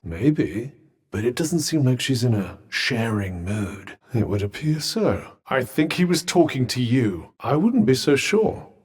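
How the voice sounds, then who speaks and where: A dry-witted magical bracelet from a video game, full of sarcasm and clipped, formal wording. His pitch sits mid-range but swings in lively arcs between lines. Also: breathy edge. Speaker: game character voice actor.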